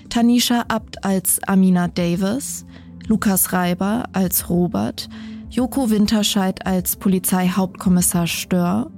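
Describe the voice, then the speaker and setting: A woman with a medium-pitched voice reads scripted closing credits. She delivers names and roles as an announcer, with music clearly playing behind her. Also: dark tone. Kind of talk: scripted narration, reading credits. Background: prominent music.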